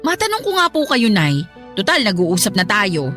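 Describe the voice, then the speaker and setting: A woman's voice: mid-range voice, wildly swooping pitch, moderate pace, a bit bright, soft background music. An actress playing a young live-in partner with a Filipino accent who talks back politely and plaintively to her elder in a radio drama. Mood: aggrieved, defiant. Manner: polite but plaintive and defiant. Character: aggrieved young live-in daughter-in-law, politely talking back.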